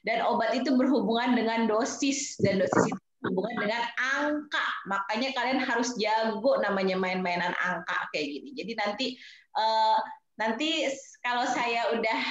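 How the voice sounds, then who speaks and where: A woman's voice: fairly high pitch, normal speed. A woman from Indonesia in her thirties, teaching English vocabulary to nursing students in a chatty way, with English terms mixed into her explanations and quiz questions to the class. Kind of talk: online class lecture mixing two languages. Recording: very echoey, dull lo-fi sound.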